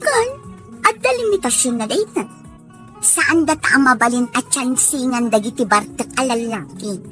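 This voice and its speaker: A high-voiced young working woman played by an actress speaks in a scripted radio drama. She is friendly and plain-spoken, with faint music behind her. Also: lively intonation, normal speed, a bit bright. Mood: earnest.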